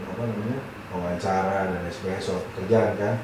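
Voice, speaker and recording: Man, eastern Indonesian guest, low voice, halting answers, echoey room with clear background noise. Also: steady tone, distant mic. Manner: halting, searches for words with fillers. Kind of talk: casual group chat.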